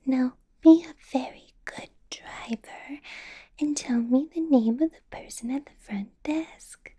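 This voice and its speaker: A doting vampire boss. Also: female voice, high-pitched, takes it slowly, long pauses, heavily acted. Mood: fond, sinister. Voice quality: soft whispering, breathy. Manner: sweetly possessive, amused with an undercurrent of threat. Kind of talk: ASMR roleplay.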